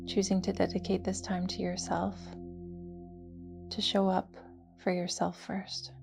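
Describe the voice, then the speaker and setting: A woman leading a guided yoga nidra meditation in a soft, soothing, near-whispered voice with gentle, measured phrasing. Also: medium pitch, creaky.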